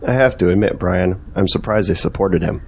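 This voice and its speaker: A man's voice: deep voice, a little fast, vocal fry, breathy edge, full character voice. A young man playing an earnest, faithful friend in an audio drama, sincere and questioning. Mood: disapproving. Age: young adult.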